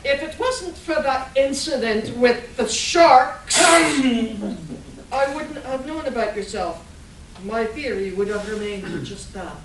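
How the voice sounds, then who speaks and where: A woman's voice: on the high side, animated, takes it slowly. A woman playing an inquisitive scientist in a comic radio play, speaking in scripted dialogue. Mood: curious. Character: inquisitive scientist plotting weather control. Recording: echoey room, distant mic, faint noise.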